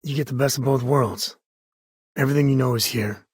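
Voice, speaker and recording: A man playing a roguish frontier ranger and protective father in a space video game, with a husky voice and a folksy, wry manner. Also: mid-range voice, a little fast, dry recording, clean studio sound, natural voice. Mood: easygoing, candid. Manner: folksy, wry, casual drawl of words. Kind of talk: video game voice acting, short dialogue lines.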